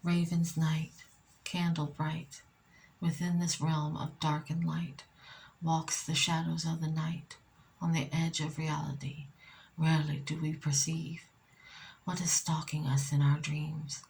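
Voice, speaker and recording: Woman, soft-spoken ASMR poetry reading, low-pitched, flat intonation, frequent whispers, deliberate pauses. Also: creaky, a little hiss or hum, rough recording. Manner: slow, deliberate, pausing between short phrases. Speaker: poet reading own work.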